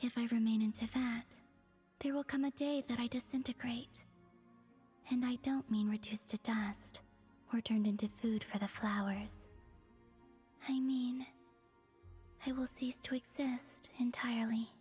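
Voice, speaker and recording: A gentle young woman from the moon, played by a voice actress, speaks in a soft, breathy and slightly high voice. She is wistful and measured, with a quiet deadpan edge. It is a video game character heard over music. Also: in a large hall, lightly acted.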